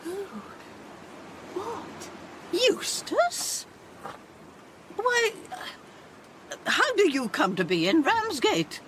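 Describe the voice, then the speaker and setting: Slow, haughty older British mother. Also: very high voice, huge pitch swings, noisy background, full character voice. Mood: startled. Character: contemptuous, haughty older Victorian mother-in-law. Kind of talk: radio drama acting.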